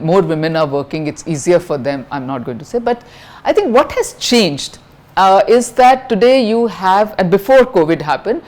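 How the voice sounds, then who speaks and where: A middle-aged woman from India with an Indian English accent and a high-pitched voice, answering interview questions frankly, with lots of fillers and restarts. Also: darkish tone. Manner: frank, many fillers and restarts, mixes in Hindi phrases.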